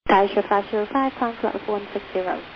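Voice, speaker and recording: A woman's voice: mid-range voice, fast. A woman working as an air traffic controller, giving clipped instructions to pilots over aviation radio. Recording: muffled air traffic radio, faint static.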